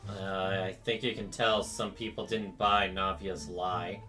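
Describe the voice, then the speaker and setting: Man, casual reaction commentary, slow hesitant pacing, bright tone, wry, faint music behind. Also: low voice, level tone. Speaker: reaction streamer. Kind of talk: casual game reaction commentary, reading along.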